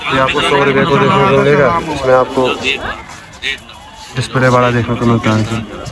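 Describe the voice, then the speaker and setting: A man's voice: mid-range voice, completely flat delivery, moderate pace, loud music behind the voice. A young man vlogging as he walks through a crowded outdoor street market, chatting to viewers about prices, recorded on a handheld camera at arm's length. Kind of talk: walking market tour vlog. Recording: loud crowd noise around. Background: loud market crowd.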